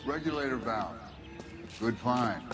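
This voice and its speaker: An actor playing a shrewd, needling fire investigator in a video game, with noise behind the voice. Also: male voice, mid-range voice, measured pace, music in the background, close to an everyday voice. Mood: matter-of-fact. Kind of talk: dramatic video game acting.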